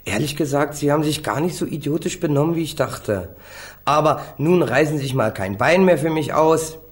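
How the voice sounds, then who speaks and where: A man's voice: a little high, expressive, a little fast, close to an everyday voice. A man acting a cheeky, street-tough young defendant in a radio crime drama, slangy, insolent and taunting. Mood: cheeky, dismissive.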